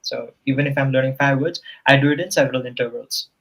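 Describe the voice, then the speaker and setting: A man's voice: mid-range voice, steady tone, fast talker, a bit bright, poor audio. A man whose first language is Nepali, talking as a guest English tutor in a casual interview. He explains things earnestly and often checks in with "you know" and "right?".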